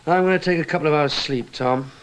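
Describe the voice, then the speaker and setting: An actor playing a brisk, weary British intelligence officer in a radio drama, with terse, clipped questioning. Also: male voice, a little high, natural voice. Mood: brisk, businesslike. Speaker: investigator and first-person narrator.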